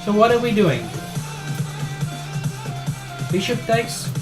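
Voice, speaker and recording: A man's voice: high voice, big pitch swings, takes it slowly, over music, low-quality recording. A middle-aged man with a South African accent, casually commentating his own game on a live stream, thinking aloud in an informal, matey way. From South Africa and Australia. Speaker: chess streamer and player.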